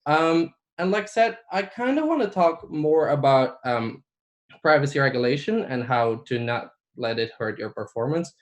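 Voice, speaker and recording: Man from Belgium, Dutch native, conference speaker, relaxed, lots of um and like. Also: on the high side, moderate pace. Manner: frequent ums and likes, casual. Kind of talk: conference talk.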